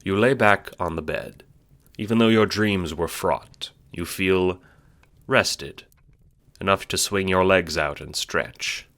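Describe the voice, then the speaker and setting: A man's voice: sits low, slow, a bit bright. A man reading scripted second-person narration for an audio drama. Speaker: narrator and writer.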